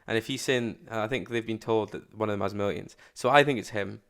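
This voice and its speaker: A man giving casual, slangy reaction commentary as a streamer, with blunt loud outbursts. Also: fairly low pitch, brisk, creaky.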